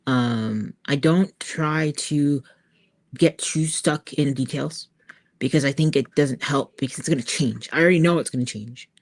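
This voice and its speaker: A woman artist chatting informally to her viewers as she works, halting and thinking aloud. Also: rumbling low. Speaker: author-artist hosting a creative livestream. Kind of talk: casual chat to viewers while working on art.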